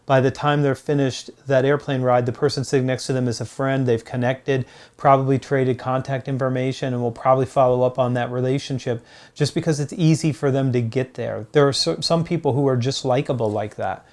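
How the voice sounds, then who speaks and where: A man's voice: mid-range voice, fairly even intonation, fairly quick, a little mellow. Man giving direct, emphatic business advice. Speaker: host, sales author and coach. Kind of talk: motivational business advice to camera.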